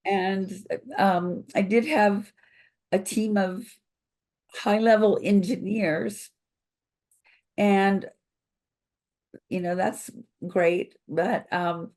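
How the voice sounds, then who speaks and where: Older woman, froggy voice, very slow pace, many pauses, video call guest. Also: mid-range voice, steady tone, a little mellow. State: froggy voice from illness. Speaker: company founder, guest. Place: home office with bookshelves.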